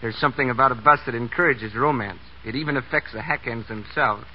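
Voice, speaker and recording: A man's voice: mid-range voice, fast talker. An American man, a bus driver, speaking plainly and folksily as a guest in a scripted 1930s radio interview. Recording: dull, noisy 1930s radio recording.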